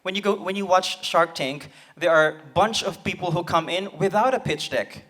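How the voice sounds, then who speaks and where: A man's voice: high voice, moderate pace, a bit bright. A man on a conference panel, explaining his point with rhetorical questions. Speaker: panelist, presentation design agency founder. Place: conference hall stage.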